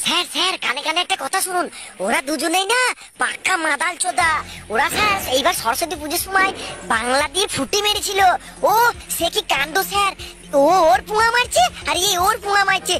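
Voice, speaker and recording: A woman's voice: extremely high pitch, animated, a little fast. A woman dubbing a cheeky, fawning young pupil who wheedles and tattles in a comic cartoon parody. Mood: wheedling. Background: music.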